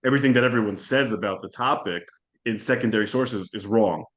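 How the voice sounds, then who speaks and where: A man whose first language is Hebrew, speaking as a podcast guest in a thoughtful, halting way with frequent restarts. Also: on the low side, fairly bright tone. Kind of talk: conversational interview.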